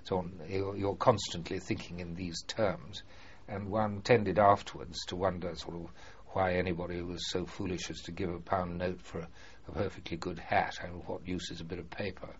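An older man being interviewed about his past, speaking formally and hesitantly with many pauses and fillers. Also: deep voice, level tone. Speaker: former prisoner of war, interviewee. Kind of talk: oral history interview. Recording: muffled archive recording with faint hiss.

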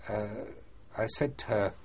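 An older man being interviewed about his past, speaking formally and hesitantly with many pauses and fillers. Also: on the low side, fairly even intonation. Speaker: former prisoner of war, interviewee. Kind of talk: oral history interview. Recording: muffled archive recording with faint hiss.

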